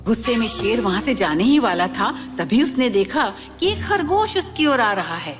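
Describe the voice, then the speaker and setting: A woman's voice: fairly high pitch, very animated, normal speed. A woman narrating a scripted children's story as a storyteller. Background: music.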